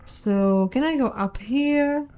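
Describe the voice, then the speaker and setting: A woman's voice: sits high, takes it slowly, warm tone, faint music behind. A woman giving casual playthrough commentary on a game, musing aloud and questioning herself. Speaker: game streamer and narrator.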